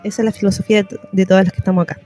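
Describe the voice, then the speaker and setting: A Chilean woman speaks as a radio host in a casual, slangy interview style. Her voice sits at a medium pitch, with music playing behind her. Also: little pitch movement, very fast, warm tone.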